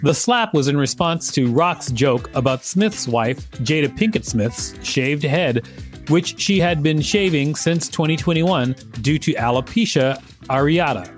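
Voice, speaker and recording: A man's voice: a little high, expressive, a little slow, faint music behind. A man hosting a podcast, reading an article aloud and adding casual commentary. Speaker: podcast host.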